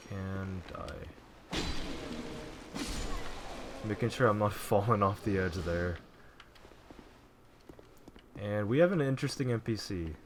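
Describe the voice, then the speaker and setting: A man quietly narrating his video game playthrough in a low voice, rambling casually with fillers. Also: noisy background. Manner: relaxed, rambling, frequent fillers. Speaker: let's play gamer. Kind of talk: casual gameplay commentary.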